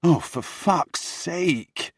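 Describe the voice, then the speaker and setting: A man's voice: fairly high pitch, animated, very slow, husky, no echo, clean studio sound, pitched above the performer's own voice, heavily acted. Man as an exasperated, raised-voice young prince. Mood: exasperated scolding. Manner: exasperated, emphatic, scolding. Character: exasperated young prince, outspoken brother scolding his sibling. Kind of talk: audiobook character dialogue.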